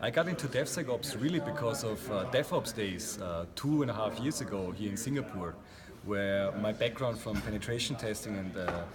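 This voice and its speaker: A man's voice: on the low side, lively intonation, moderate pace. A man from Austria, a guest in a casual interview, talking earnestly and reflectively with many fillers. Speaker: interview guest, meetup founder. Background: crowd chatter.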